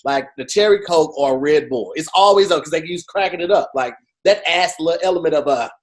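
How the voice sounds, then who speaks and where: A man co-hosting a podcast, joking around in an animated, profane way. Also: on the high side, a little fast. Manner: animated, profane, jokey storytelling with mocking quoted voices. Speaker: podcast co-host. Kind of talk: casual comedic chat.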